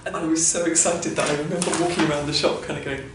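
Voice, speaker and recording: A woman's voice: deep voice, fairly even intonation, quick pace. A woman in her thirties, talking in a chatty, reflective way and laughing at herself. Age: 30s. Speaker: interviewee. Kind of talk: personal documentary interview. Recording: echoey room, distant mic, noise.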